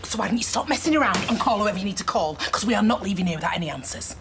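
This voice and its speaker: A woman's voice: medium pitch, lively intonation, rapid-fire, close to an everyday voice. An actress playing a defiant, confrontational woman protester in a screen drama. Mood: defiant, threatening. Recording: noisy clip played back from afar.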